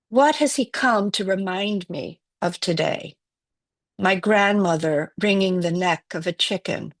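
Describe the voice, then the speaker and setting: A woman poet from Wales, whose first language is Welsh, reads her work at an unhurried pace. Her voice sits a little low and sounds dry and close, with no room around it. She reads in a measured, reflective way. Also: no echo. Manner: slow, measured and reflective reading. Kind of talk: poetry reading.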